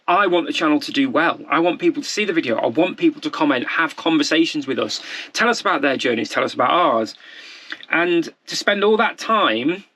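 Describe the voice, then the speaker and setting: A man's voice: a little high, bright, forceful tone. A man in his forties, a vlogger talking off the cuff to camera in a candid, rambling, self-deprecating way. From United Kingdom. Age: 40s.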